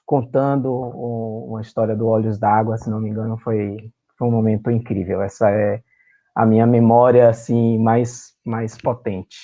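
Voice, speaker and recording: A man from Salvador, a performer and writer, talking with emotion as a guest in an interview and often pausing with fillers to find his words. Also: lowish voice, flat delivery, mellow, lo-fi sound. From Salvador, Brazil. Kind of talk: remote interview, reminiscing.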